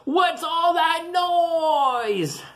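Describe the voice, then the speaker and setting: A man voicing a grumpy, sleepy male grizzly bear in a storybook, shouting in a high strained voice. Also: expressive, very slow, exaggerated voice. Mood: irritated. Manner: loud high whining shout.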